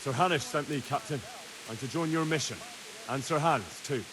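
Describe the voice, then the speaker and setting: An actor playing an earnest young squire in a medieval video game, respectful and inquisitive. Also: male voice, fairly high pitch, normal speed, background noise, close to an everyday voice. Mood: dutiful. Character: earnest young male squire, dutiful, curious.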